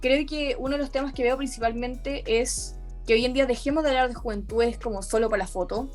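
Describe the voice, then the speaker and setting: Young woman, chilean accent, higher-pitched voice, earnest, restarts often, faint music under her. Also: brisk. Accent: Chilean Spanish. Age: young adult. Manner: earnest, many restarts and self-corrections. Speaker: youth activist guest. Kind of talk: conversational interview.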